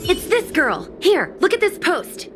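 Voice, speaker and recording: A woman's voice: very high voice, huge pitch swings, unhurried, heavily acted. A woman playing a playful, knowing young female companion in a video game cutscene. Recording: game music under the voice.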